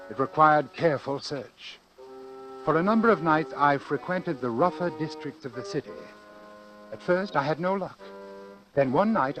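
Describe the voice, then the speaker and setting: An actor playing a courteous, coldly calculating doctor in a 1940s radio drama, speaking and narrating with formal, precise phrasing. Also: male voice, a little high, lightly acted, low-quality recording. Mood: grave, methodical. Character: cold, courteous, calculating educated doctor narrating his report. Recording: vintage 1940s radio broadcast.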